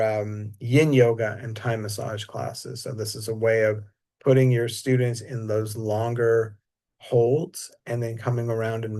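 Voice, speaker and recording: A mellow, warm-voiced man talks through his massage training in a relaxed, casual way. His pitch sits in the middle, and his delivery is unhurried and easygoing, with frequent small fillers. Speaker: massage teacher. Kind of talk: casual talk.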